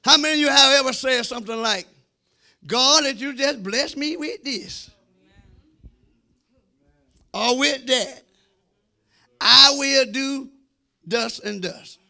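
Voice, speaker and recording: A middle-aged man preaching an animated, emphatic sermon, repeating phrases and calling for response in a church, on a handheld microphone. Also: very high-pitched, slow pace, creaky, pauses often. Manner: emphatic, repeating phrases, swinging between shouts and quieter asides. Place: church sanctuary, speaking from the front.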